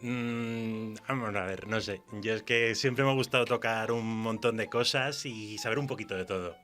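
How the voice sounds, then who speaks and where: Bright-voiced man from Spain, slightly low. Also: moderate pace, faint music behind. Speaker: guest writer. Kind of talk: casual interview chat.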